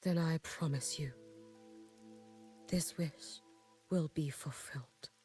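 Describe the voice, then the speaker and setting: A solemn shrine maiden for a video game. Her voice is low and slow, grave and restrained, with faint music behind it. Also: mellow. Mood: solemn, composed. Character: solemn, stoic female shrine maiden of legend. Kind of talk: game voice acting.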